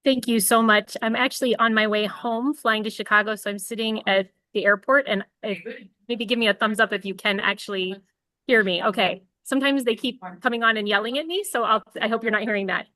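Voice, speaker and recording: A woman joining a call from an airport as a guest, talking in a hurried, chatty way. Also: on the high side, fairly even intonation, pushed and bright, dry recording. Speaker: guest sharing a personal story.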